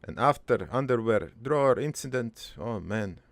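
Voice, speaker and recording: A man playing a crude but wistful old dead father in a cartoon, rambling through his life story in a halting, gravelly, improvised monologue. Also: mid-range voice, animated, dry recording, natural voice. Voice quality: gravelly, creaky.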